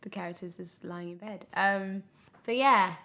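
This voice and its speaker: A young British woman talks casually as an interview guest. Her voice sits at a medium pitch, and she speaks with emphasis, often interrupting herself mid-thought. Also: fairly even intonation, normal speed, pushed and bright. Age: 20s. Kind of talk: casual podcast interview chat.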